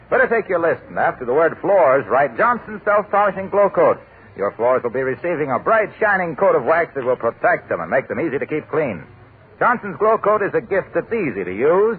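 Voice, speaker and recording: A man reads a 1940s radio commercial in a hearty, salesman-like manner. His delivery rolls lively up and down in pitch. The sound is thin and phone-like, with a faint hiss. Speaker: announcer. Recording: tinny 1940s radio broadcast.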